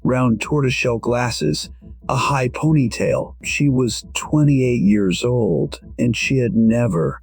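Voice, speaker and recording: A man with a husky voice narrating a scripted first-person story in a measured, reflective manner. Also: medium pitch, lively intonation, darkish tone.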